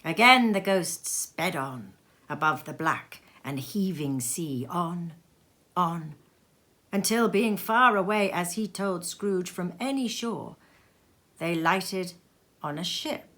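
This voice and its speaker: A woman reading literary fiction aloud as a storyteller, with an expressive, theatrical delivery that moves between hushed and emphatic. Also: on the low side, slow. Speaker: storybook reader. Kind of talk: dramatic literary narration, scripted. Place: sitting room at home.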